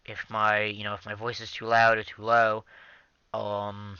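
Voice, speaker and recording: A man's voice: fairly low pitch, monotone, unhurried, sharp tone, smooth, dry recording, lo-fi sound. Young man rambling over gameplay. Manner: rambling, many ums, self-interrupting. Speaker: gaming channel host. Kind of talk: casual gameplay commentary. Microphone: headset.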